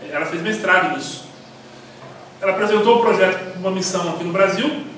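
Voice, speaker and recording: A man with a Brazilian Portuguese accent, telling stories in a rambling, animated way while teaching a church class. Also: sits high. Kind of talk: informal church class talk. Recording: echoey hall, mic far off, faint noise.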